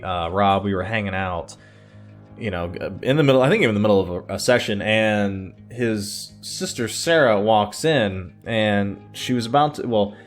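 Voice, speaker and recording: A man telling personal stories casually to his online viewers, digressing, hesitating and circling back as he goes. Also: on the low side. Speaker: YouTube storyteller. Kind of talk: casual rambling personal storytelling.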